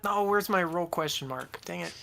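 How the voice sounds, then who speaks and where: A man's voice: sits high, moderate pace, gravelly, bright, forceful tone. A man chatting casually as a player in a tabletop role-playing game, hesitant as he thinks aloud.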